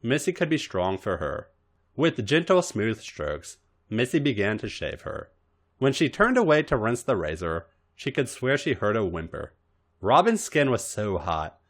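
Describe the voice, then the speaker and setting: Male story reader, lower register, highly animated melody, expressive scripted narration.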